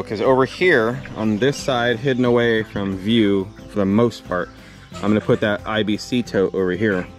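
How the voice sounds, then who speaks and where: A low-voiced man in his thirties talks easygoing and casual while filming himself on a handheld camera outdoors. He explains his farm plans step by step. Faint music plays underneath. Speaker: homesteading vlogger. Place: outdoors by a chicken coop in woods. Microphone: handheld camera at arm's length.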